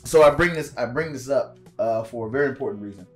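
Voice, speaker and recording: Raspy-voiced podcast co-host with a cough. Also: male voice, mid-range voice, soft background music. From DC area. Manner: quick, laughing rants. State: hoarse from a cough. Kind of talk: casual profane podcast banter.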